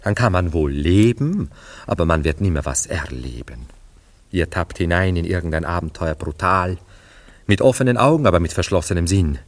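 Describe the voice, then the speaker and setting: An actor playing a dreamy, self-absorbed young romantic in a German radio play, speaking in a wistful, lyrical, theatrical way with hesitant pauses. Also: male voice, low voice, animated. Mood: rapt, lyrical. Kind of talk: German radio play acting, literary dialogue.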